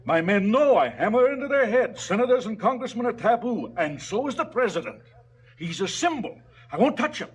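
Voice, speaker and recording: An actor playing a cynical, brooding crime boss in a stylised radio drama, sardonic and businesslike about killing. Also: male voice, high voice, big pitch swings, brisk, slightly rough, exaggerated voice. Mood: indignant lecturing.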